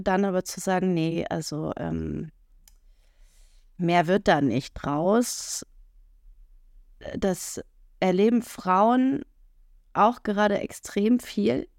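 A woman's voice: mid-range voice, no room sound. Frank chatty woman, dry close sound. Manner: frank, chatty, many fillers and laughs. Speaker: podcast co-host. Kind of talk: casual podcast conversation.

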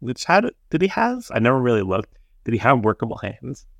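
A man's voice: medium pitch, big pitch swings, fairly quick, creaky, dry recording, studio quality. A man co-hosting a podcast, laid-back and agreeable, tossing in jokes as he recaps a TV episode. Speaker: podcast co-host. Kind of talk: casual chat recapping a TV episode.

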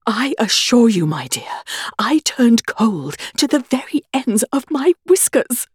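Woman playing small fretful chess King, quavering, melodramatic, swooping husky storybook voice. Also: a little high, big pitch swings, measured pace, no room sound, studio quality, pitched above the performer's own voice, heavily acted. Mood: frightened. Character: timid, self-dramatising little chess King.

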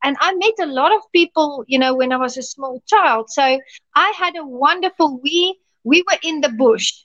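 A woman's voice: high-pitched, normal speed, fairly bright tone, lo-fi sound. A woman from South Africa with a South African accent, a pastor telling stories in a casual interview. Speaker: pastor and podcast guest.

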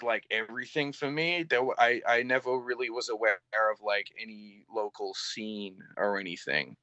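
Halting young man with a mixed accent. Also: fairly low pitch, bright, forceful tone, no echo. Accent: mix of English and Canadian. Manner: halting, heavy use of "like", swears casually. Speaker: band vocalist, guest. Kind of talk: casual interview chat. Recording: slightly dull lo-fi audio.

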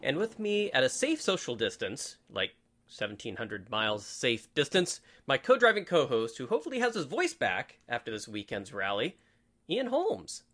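A man's voice: mid-range voice, wildly swooping pitch, moderate pace, a bit bright. A man hosting a rally podcast, chatting in an upbeat, easygoing way and laughing easily. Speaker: podcast host. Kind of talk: casual podcast chat.

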